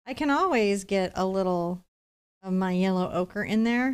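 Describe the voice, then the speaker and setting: A middle-aged woman leads a live painting lesson in a smooth, clear voice at a medium pitch. She talks conversationally, explaining each step as she goes and adding chatty, reassuring asides. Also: moderate pace. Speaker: painting instructor, host.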